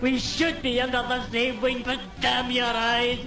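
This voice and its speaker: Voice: mid-range voice, monotone, a little slow, roomy sound, distant mic, over music, natural voice. An actor voicing a bitter, ashamed birdlike alien in a video game, anguished and dramatic. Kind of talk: video game character acting.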